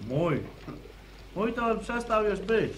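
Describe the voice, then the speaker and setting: A sardonic young villager jabs at others in a mocking way. His voice sits fairly high for a man. There is faint hiss on the old radio-play recording. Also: very animated, slow pace, exaggerated voice. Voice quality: mocking, with chuckles. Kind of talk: 1970s radio drama acting.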